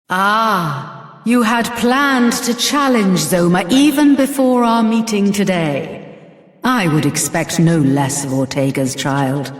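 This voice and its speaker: A woman voicing a regal, dying dragon queen in a fantasy video game, stately, formal and solemn, with echoing hall reverb. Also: fairly low pitch. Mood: gentle, resigned.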